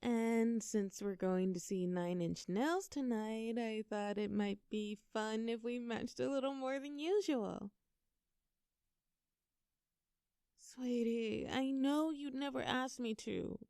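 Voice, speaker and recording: Casting: young woman acting as a doting, teasing girlfriend for intimate audio roleplay; mellow tone, very dry close sound. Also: a little high, measured pace, few pauses, clean tone, no echo. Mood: affectionate, playful. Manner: playful, coaxing, talking tenderly to a partner.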